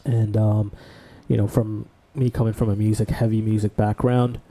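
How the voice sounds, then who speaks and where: Man, co-host, very dark tone, relaxed rambling storytelling, casual podcast talk. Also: lowish voice, normal speed. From Bangladesh.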